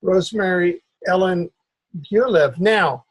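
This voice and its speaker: An older man hosting a conversational interview, speaking in a halting, deliberate way. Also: high-pitched, husky. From Cuba. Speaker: interview host.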